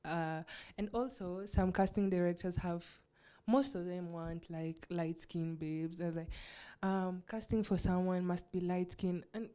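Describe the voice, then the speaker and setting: A woman's voice: lowish voice, fairly even intonation, measured pace, dark tone, clean tone. A young Kenyan woman with a Kenyan accent, an actress chatting frankly as a guest and laughing often. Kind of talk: casual interview chat.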